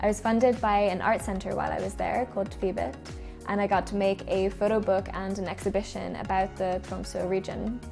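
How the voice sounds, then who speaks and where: An Irish woman, around thirty, presents a personal vlog. Her voice sits at an ordinary pitch for a woman and often drops into fry. Music plays softly underneath as she narrates. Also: steady tone, normal speed. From Ireland. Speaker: freelance photographer presenting a vlog.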